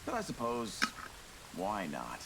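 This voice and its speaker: Slow gravelly wry tiefling. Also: male voice, on the low side, huge pitch swings, fairly bright tone, full character voice. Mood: wry, wary. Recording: rain and thunder hiss over voice.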